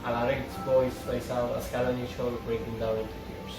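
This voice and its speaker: A man's voice: mid-range voice, flat delivery, fairly quick, recorded from a distance. A man narrates a table read, reading stage directions aloud. He sounds some way from the mic in an echoey room, with music underneath.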